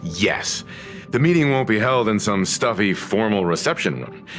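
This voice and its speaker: A polite, low-voiced gentleman. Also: expressive. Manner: polite, measured, formal. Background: game music.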